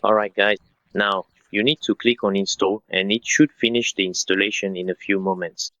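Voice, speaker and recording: A man in his thirties narrating a step-by-step software tutorial in an instructional way, speaking directly to viewers. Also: fairly low pitch, steady tone, normal speed, no echo, muffled recording. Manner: instructional, addresses viewers as guys.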